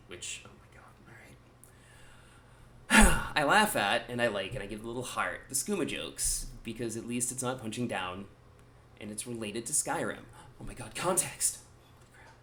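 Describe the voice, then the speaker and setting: A man in his thirties talks straight to his viewers in a loose, rambling monologue, wry and a bit self-deprecating. His voice sits a little low, with a faint hiss of noise under it. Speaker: gaming YouTuber.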